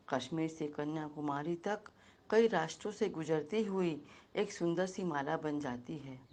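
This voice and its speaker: Low-voiced woman giving a slow speech. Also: darkish tone. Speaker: speaker at a Hindi day event. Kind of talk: recited speech.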